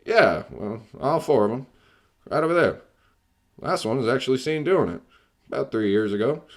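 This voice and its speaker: A man's voice: mid-range voice, expressive, normal speed. A man playing a matter-of-fact real estate agent who dismisses ghosts, telling things in a plain, folksy way in radio drama style.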